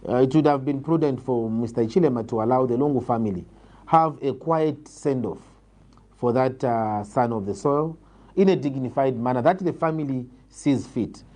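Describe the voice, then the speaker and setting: A man with a Zambian accent answering political interview questions in a measured, formal, emphatic way. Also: mid-range voice, a little mellow. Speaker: political interviewee, party leader.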